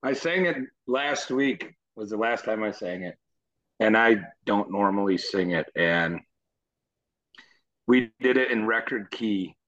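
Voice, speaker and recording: A low, somewhat deep male voice talks in a relaxed, meandering way, now and then chuckling. He is a musician guest in a casual interview. The sound is dull and lo-fi, like a remote recording. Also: measured pace. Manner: easygoing, rambling, chuckles. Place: Nashville.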